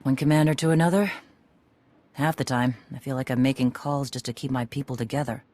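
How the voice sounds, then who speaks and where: A woman's voice: very deep voice, steady tone, a little fast, a little mellow, no echo. An actress playing a tough, blunt female clan commander in a video game, with a dry, authoritative manner. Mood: frank, wry.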